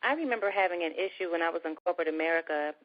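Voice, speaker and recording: A woman with a soft voice, chatting as a guest in a casual conversation. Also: on the low side, racing. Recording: dull lo-fi recording.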